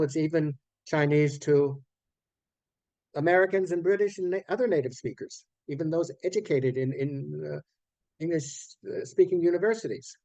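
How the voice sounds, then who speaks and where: A man teaching an online class in an informal lecture style, explaining things haltingly and often correcting himself mid-sentence. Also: fairly high pitch, dark tone, no room sound. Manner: halting, explanatory, with repeated phrases and self-corrections. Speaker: online course teacher of academic English writing.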